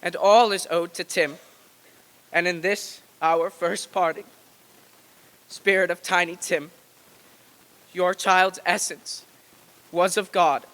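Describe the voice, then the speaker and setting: A man's voice: high voice, steady tone, slow, long pauses, fairly bright tone. A man playing a humble, grieving father in an old-time radio play reading on stage. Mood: mournful. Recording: long echo of a hall.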